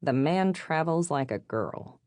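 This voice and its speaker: A woman's voice: sits low, expressive, a little slow, darkish tone, no echo, studio quality. Narrator acting a sassy, blunt young woman. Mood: mocking. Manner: sarcastic, punchy, informal. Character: sassy, playful young woman, blunt and teasing best friend.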